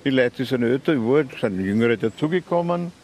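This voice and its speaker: A man's voice: medium pitch, lively intonation, fairly quick, darkish tone. An older man, a chess park regular, answering in a hesitant, musing way.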